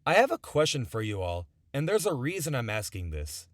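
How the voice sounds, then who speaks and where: A man's voice: mid-range voice, huge pitch swings, fairly quick, dry recording, studio quality. A young man narrating a scripted video essay in an earnest, emphatic, conversational way. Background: background music.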